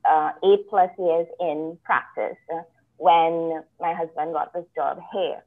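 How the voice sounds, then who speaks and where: Lady lawyer, kinda low voice, thin phone-line sound, calm and thoughtful. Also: slow. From Trinidad and Tobago. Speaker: guest, attorney. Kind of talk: conversational interview. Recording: tinny phone-like call line.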